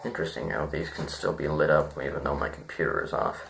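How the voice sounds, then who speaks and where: A man giving a casual, unscripted review, halting and rambling as he thinks aloud. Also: extremely low pitch, creaky, fairly bright tone, slight background noise. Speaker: online story reviewer.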